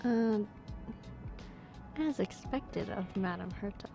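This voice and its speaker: A woman's voice: mid-range voice, slow pace, creaky. A young adult woman doing casual, laid-back, slangy commentary as a gaming streamer. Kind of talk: casual gameplay commentary, reading dialogue choices aloud. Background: faint game music.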